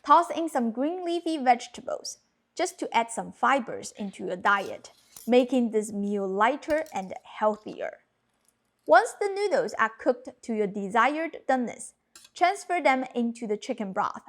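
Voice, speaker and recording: A woman in her thirties whose first language is Cantonese, narrating a cooking lesson with clear step-by-step instructions. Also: a little high, very animated, a little slow. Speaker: cooking channel host. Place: home kitchen.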